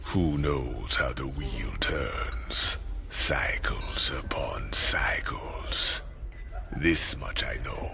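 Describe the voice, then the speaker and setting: An actor playing a world-weary, cryptic mystic man in a video game, musing slowly and philosophically in a deep voice. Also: sharp tone, whispered, exaggerated voice. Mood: musing, ominous. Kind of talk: dramatic video game voice acting. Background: faint music.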